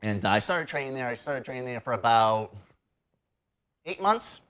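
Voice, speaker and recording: A man's voice: medium pitch, expressive, moderate pace, a bit bright, smooth. A man in his thirties from New Hampshire, a guest wrestler chatting in a TV studio and rambling through many fillers. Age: 30s. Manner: rambling, heavy on "you know" and "like" fillers. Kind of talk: casual interview chat. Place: TV studio set.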